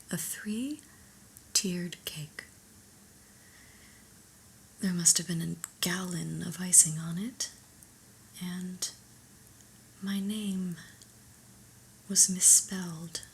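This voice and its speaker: A woman playing a stern, unimpressed new office boss in an ASMR roleplay, speaking in a breathy whisper with a measured, formal, reproving manner. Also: on the low side, slight background noise, rough recording. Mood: reproving, dry. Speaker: ASMR performer.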